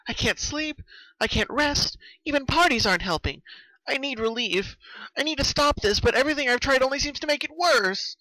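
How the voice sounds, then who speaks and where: A man's voice: extremely high pitch, animated, moderate pace, pushed and bright, no echo, voice pushed up, full character voice, low-quality recording. A man voicing a distraught, exhausted young female cartoon pony in a quivering, pleading, dramatic storybook reading. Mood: anguished.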